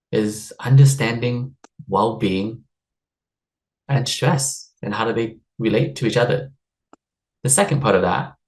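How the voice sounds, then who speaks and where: A man's voice: mid-range voice, creaky, darkish tone, poor audio. A man who grew up in the United States, leading a live online workshop as a stress and wellbeing coach over a video call, in an upbeat, chatty way with frequent laughs and fillers. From United States (Seattle area).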